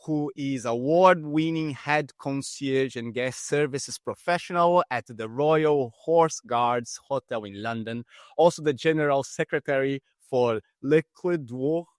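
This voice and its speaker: A man's voice: mid-range voice, a little slow, no echo, clean studio sound. Emphatic male podcast host. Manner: eager, emphatic introductions. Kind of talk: interview hosting.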